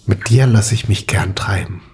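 A man acting a brooding, burdened character in an intimate audio spy drama, reflective and halting. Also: on the low side, slight background noise, close to an everyday voice. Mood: dreamy, tender.

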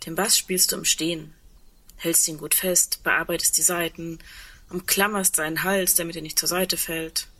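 A wistful woman musician in an intimate radio play. Her voice sits a little low, husky yet bright and forward. She speaks reflectively, in halting, natural phrases. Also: little pitch movement. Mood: wistful, reminiscing. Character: wistful woman double bass player facing the world's end.

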